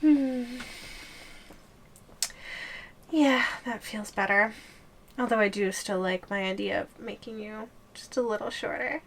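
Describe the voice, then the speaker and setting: A woman's voice: medium pitch, moderate pace, fairly bright tone, slight background noise, natural voice. A woman voicing a playful, possessive giantess girlfriend in an ASMR audio roleplay, with a teasing, giggly, languid delivery.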